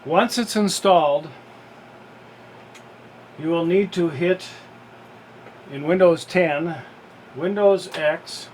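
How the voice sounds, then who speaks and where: A man giving a casual how-to narration in a halting, step-by-step way, as an unboxing video presenter. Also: on the high side, slight background noise.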